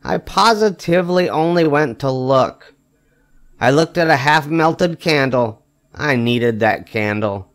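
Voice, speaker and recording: An older man reads a children's story aloud in a slightly high-pitched voice. His delivery is expressive and emphatic. He lets the short punchlines stretch out with wry weight. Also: slow. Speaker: storybook reader. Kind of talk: expressive read-aloud of a children's picture book.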